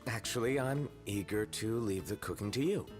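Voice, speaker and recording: A man's voice: fairly low pitch, normal speed, faint music behind, close to an everyday voice. A man voicing a laid-back young prince in a video game, casual and dry with an American accent. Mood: dryly teasing. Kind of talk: video game cutscene voice acting.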